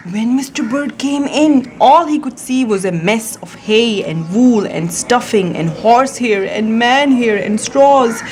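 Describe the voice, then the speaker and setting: A woman reading a picture book aloud to her young child, expressive and simple in her wording, often prompting with questions. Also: fairly high pitch, a little slow, few pauses, faint music behind. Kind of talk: storybook read-aloud with questions and chat to a child.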